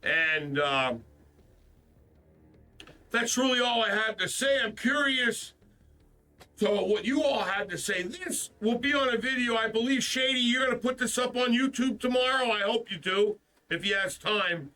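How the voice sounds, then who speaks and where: A man's voice: extremely high pitch, unhurried, bright, forceful tone, distant mic, rough recording. Stuffed-up man ranting on a stream. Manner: frustrated, profane, repeats himself. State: stuffed up from the flu. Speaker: gaming stream host. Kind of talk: casual ranting stream talk.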